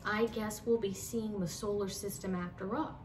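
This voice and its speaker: A woman voicing an excitable, quirky female schoolteacher instructing children in a storybook. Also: medium pitch, normal speed, distant mic, slight background noise, close to an everyday voice. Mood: cheerful, instructive. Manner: bright, instructive exclaiming to children.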